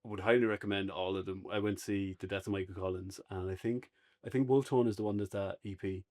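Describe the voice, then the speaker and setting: A man with an Irish accent co-hosting a relaxed, unscripted review conversation. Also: sits low, fast. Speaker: podcast co-host and reviewer. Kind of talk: casual unscripted review chat.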